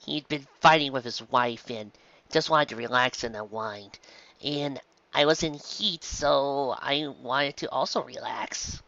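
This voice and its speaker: A man voicing a gentle, guilt-ridden adult pegasus mare, a mother who talks softly and haltingly in a fan fiction audiobook. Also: mid-range voice, no echo, muffled recording. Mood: ashamed. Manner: halting, soft, apologetic.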